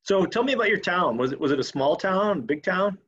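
A man's voice: sits high, expressive, fairly quick, a bit bright, breathy edge, no room sound, lo-fi sound. A man hosting an interview podcast, eager and stumbling over restarts as he asks questions. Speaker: podcast host.

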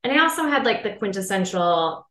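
A woman's voice: mid-range voice, sing-song, fairly quick, fairly bright tone. A woman chatting playfully as a guest in a casual conversation. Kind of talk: casual chat. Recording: echoey room.

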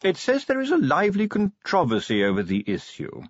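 Guy doing a very proper tactful butler in an audiobook, measured n polite, swoopy pitch, bit muffled. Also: wildly swooping pitch, dry recording, exaggerated voice. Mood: cautious, tactful. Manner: formal, measured, cautiously polite. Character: deferential, unflappable, tactful male butler. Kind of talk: audiobook character voice.

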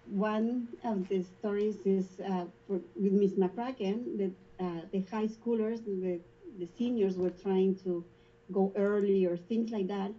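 A woman's voice: medium pitch, steady tone, measured pace, warm tone, dull audio. A woman with a Spanish accent who works in a school front office, telling stories in a casual interview and laughing often. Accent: Spanish-speaking.

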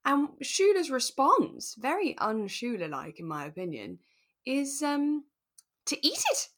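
A British woman co-hosting a podcast in relaxed, casual chat, with an animated, emphatic, giggly way of talking. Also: high voice, a little slow. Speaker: podcast co-host. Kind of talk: relaxed casual chat about a radio drama.

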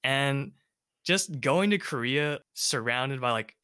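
Bright, clear-voiced young man. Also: mid-range voice, takes it slowly, no room sound, clean studio sound. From United States. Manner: relaxed, rambling, many fillers. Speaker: host. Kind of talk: casual chat.

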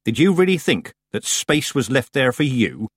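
Old female witch played by a man: commanding, deliberate, rustic, lifted in pitch. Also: slightly rough, dry recording, studio quality. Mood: brisk, stern. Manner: calm, deliberate, rustic grammar.

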